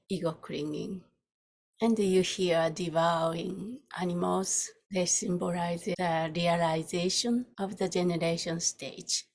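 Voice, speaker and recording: Female meditation teacher, lowish breathy voice, very slow and soft with long pauses. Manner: soft, unhurried, with long pauses between short phrases. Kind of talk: guided meditation.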